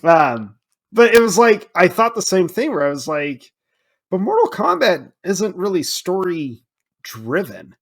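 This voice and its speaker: A man's voice: sits high, very animated, a little slow. A man co-hosting a film podcast, talking casually and swearing freely as he acts out his own reactions. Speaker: podcast co-host.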